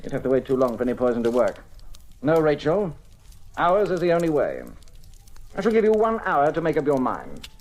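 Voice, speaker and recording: Very deep-voiced criminal ringleader. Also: female voice, very animated, a little fast. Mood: scornful, firm. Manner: suave, articulate, condescending, menacing. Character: cool, scheming, commanding criminal ringleader plotting a theft. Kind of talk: old radio mystery drama acting. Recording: noisy old radio recording.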